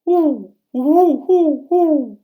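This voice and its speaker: A man reading a storybook, voicing a father who hoots loudly like an owl in long, repeated calls. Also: very high-pitched, animated, extremely slow, narrow, tinny audio, voice pushed up, exaggerated voice. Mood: calling out.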